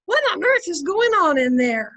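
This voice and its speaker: An actress playing a wry, chatty housewife who quips and persuades in old-time radio comedy style. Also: female voice, extremely high pitch, sing-song, normal speed, a bit bright, lo-fi sound, heavily acted. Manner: quipping, persuasive. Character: wry, chatty housewife, wife of census taker.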